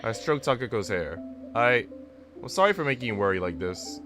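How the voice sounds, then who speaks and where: A man's voice: on the low side, very animated, brisk. Visual novel heroine narrating read by a man, reflective and unsure, varied intonation, music underneath. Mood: reflective, uncertain.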